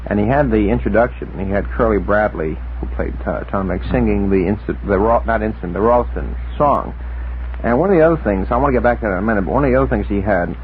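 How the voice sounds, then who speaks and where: A man reminiscing casually as a radio panelist, with a hesitant, halting delivery. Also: on the low side. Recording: muffled phone-like vintage radio tape, noisy.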